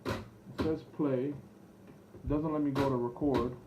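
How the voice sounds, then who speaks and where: A man's voice: mid-range voice, steady tone, unhurried. A man thinking aloud through an unscripted product demo, hesitant, rambling and unsure of himself. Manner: hesitant, rambling, self-doubting. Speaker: online seller demonstrating vintage electronics. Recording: dull lo-fi camera audio with background noise.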